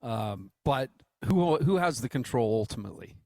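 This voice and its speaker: A man with an American accent and medium pitch co-hosts a sports talk show. His delivery is casual, conversational and reassuring. The sound is dry and close. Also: expressive, moderate pace, creaky, dark tone, dry recording. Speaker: radio co-host.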